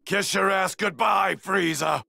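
A man voicing a proud, ruthless warrior in a video game, with a gravelly, raspy voice. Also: sits high, a little slow, pushed and bright, shouting, full character voice. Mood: menacing. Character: proud, menacing, ruthless male alien warrior.

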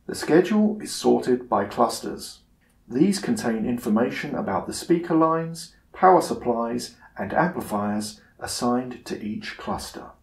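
A man's voice: mid-range voice, lively intonation, gravelly. Roomy-sounding male tutorial narrator. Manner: measured, instructional. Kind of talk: scripted narration, software tutorial.

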